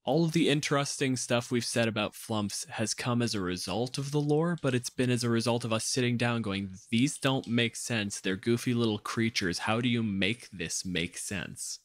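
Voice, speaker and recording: A man's voice: medium pitch, moderate pace, few pauses, no room sound. A man chatting casually as a podcast panelist, analytical and opinionated in the way he talks. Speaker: podcast panelist discussing tabletop game monsters. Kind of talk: casual chat.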